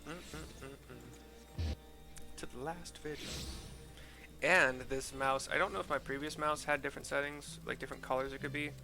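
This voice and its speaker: A man giving casual, rambling gameplay commentary and narration in an informal way, with a gravelly voice. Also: mid-range voice, sharp tone. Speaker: game streamer reading quest dialogue aloud. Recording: game music behind voice.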